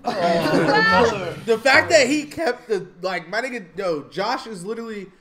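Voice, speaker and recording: A man's voice: high voice, lively intonation, unhurried, over a noisy crowd. A man reacting to a video in casual slangy commentary, laughing and exclaiming. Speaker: reaction streamer.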